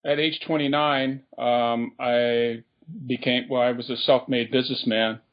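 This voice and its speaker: A man from Brazil, a podcast guest telling his life story casually, pausing often with ums and uhs. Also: medium pitch, little pitch movement, muffled recording. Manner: halting, with frequent ums and uhs.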